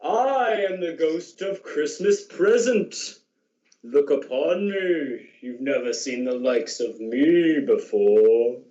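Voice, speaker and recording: A grand, jolly giant of a spirit played by a man speaks slowly and mellowly, as in a radio play. The voice echoes in a roomy, dull recording. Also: a little high, dark tone. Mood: jovial, grand. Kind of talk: amateur radio drama acting.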